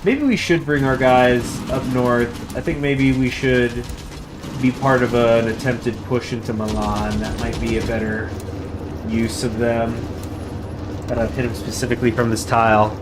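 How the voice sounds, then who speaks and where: A man casually commenting on his strategy game as he plays, thinking out loud and reading the in-game text aloud. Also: medium pitch, fairly even intonation, unhurried, background noise. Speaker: gameplay commentator. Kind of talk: casual let's-play commentary. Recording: faint music underneath. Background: faint game music.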